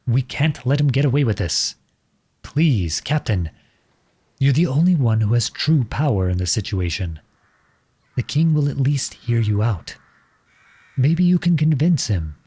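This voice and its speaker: A man's voice: mid-range voice, dark tone, slightly rough, exaggerated voice. A man playing an impassioned, defiant young prince turned soldier in a scripted audio roleplay, with an earnest, dramatic delivery. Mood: bitter, indignant.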